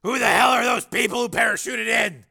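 A man playing an intense male action hero who speaks in urgent shouts in an audiobook. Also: very high voice, brisk, vocal fry, husky, pitched above the performer's own voice, exaggerated voice.

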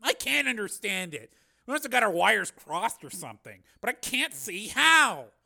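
A man's voice: sits high, very animated, moderate pace, gravelly, pushed and bright, voice pushed up, full character voice. A man voicing a baffled, defensive male technician in an audiobook, sounding plaintive and bewildered.

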